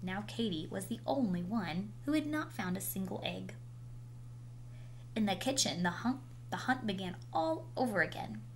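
A woman reading a children's picture book aloud with animated, dramatic emphasis and drawn-out words. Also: mid-range voice. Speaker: storybook reader. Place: indoors, small room at home. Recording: noisy home video recording.

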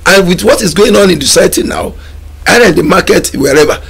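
Middle-aged Nigerian man, TV studio guest, gravelly and husky, slightly high pitch, emphatic. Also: animated, quick pace, a bit bright. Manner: emphatic, repeats words while gathering thoughts. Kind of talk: studio talk show discussion. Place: TV studio lounge set.

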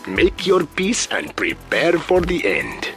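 An actor playing a proud, menacing guerrilla commander in a video game, with a theatrical, pompous, taunting delivery. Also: male voice, on the high side, huge pitch swings, slow pace, a bit bright, soft background music, heavily acted. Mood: gloating menace. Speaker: villain general taunting the player.

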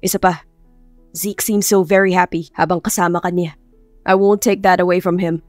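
A woman's voice: on the low side, flat delivery, normal speed, dry recording, soft background music, natural voice. Woman playing a curt, reserved young father. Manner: plain, curt delivery.

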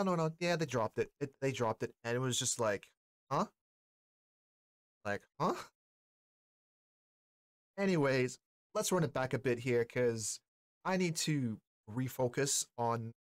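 A man's voice: medium pitch, no room sound, studio quality. A man in his forties giving casual, slangy reaction commentary to camera as a host. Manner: slangy, informal. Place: small indoor streaming room.

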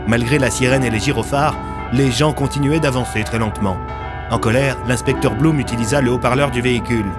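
A man's voice: medium pitch, fairly quick. A young man narrating a scripted audio story as its storyteller. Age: young adult. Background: music.

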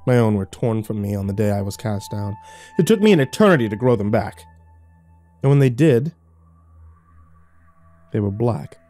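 A man voicing a cruel, mocking fallen angel who taunts with a smug, soft tone that can snap into screeching. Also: medium pitch, very animated, moderate pace, soft background music. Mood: bitter. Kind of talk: audio drama storytelling.

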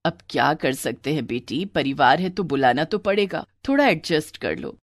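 A woman voicing a teasing, resigned older mother in a cartoon family story, gently chiding. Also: low voice, animated, normal speed, no room sound, voice pushed down. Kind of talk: animated Hindi family story acting.

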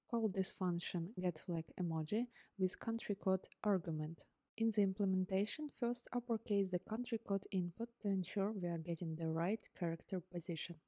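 A woman whose first language is Ukrainian, calmly narrating a scripted coding tutorial step by step as host. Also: lowish voice, dry recording, clean studio sound. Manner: calm, step-by-step explaining. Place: voice-over on screen recording.

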